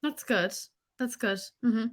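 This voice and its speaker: A woman's voice: on the high side, takes it slowly. Patient woman tutoring online. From Ireland. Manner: patient, encouraging, asks follow-up questions. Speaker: English tutor.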